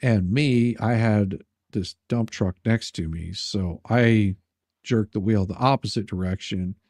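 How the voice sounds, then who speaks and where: A man telling personal anecdotes in casual chat, halting and rambling as he repeats his words. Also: lowish voice, darkish tone, no room sound, clean studio sound.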